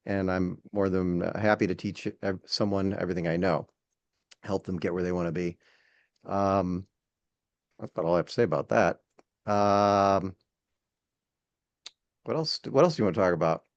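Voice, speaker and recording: A man speaking casually as an interview guest and instructor, in a hesitant, halting way with repeated words. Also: low-pitched. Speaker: podcast guest, air traffic control instructor. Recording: dull, lo-fi sound.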